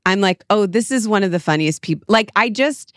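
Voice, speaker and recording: A woman co-hosting a podcast in casual chat, gushing and giggly, with quick asides. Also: mid-range voice, lively intonation, no room sound, studio quality. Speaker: podcast co-host.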